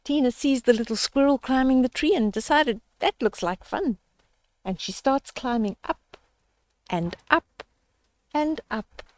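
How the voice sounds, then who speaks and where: A woman's voice: high voice, a little slow, no room sound. An older woman reading a children's story aloud, expressively, with explanatory asides and questions to young listeners.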